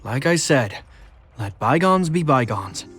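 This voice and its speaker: Dutiful weary younger brother soldier for a game, husky, serious. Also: male voice, mid-range voice, sing-song, a little slow, a bit bright, faint music behind, full character voice. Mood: weary, dry. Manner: earnest, restrained. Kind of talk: video game voice acting.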